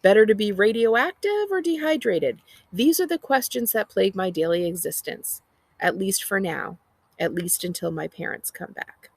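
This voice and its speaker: A woman's voice: medium pitch, expressive, normal speed, gravelly, no echo. An American woman voicing a sardonic, exasperated twelve-year-old girl narrating her life in a storybook read-aloud. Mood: dramatic, wry. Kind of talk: expressive audiobook-style reading.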